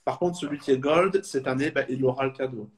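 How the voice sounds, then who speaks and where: A man's voice: mid-range voice, fairly quick, a little hiss or hum. A man in his thirties from Belgium, talking casually as a guest in an online interview, hesitant with many fillers and restarts. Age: 30s. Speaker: supporters club guest. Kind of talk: casual video call interview.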